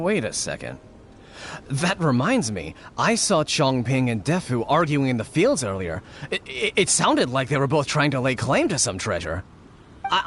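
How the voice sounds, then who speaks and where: A man's voice: medium pitch, a bit bright. A man voicing an earnest, apologetic young villager in a video game, pleading and at times tripping over his words. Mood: surprised, flustered.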